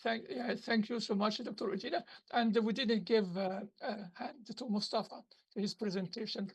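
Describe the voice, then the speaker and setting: A woman chairing an online academic conference session, thanking speakers and introducing the next one with halting, repeated words. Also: medium pitch, slightly rough.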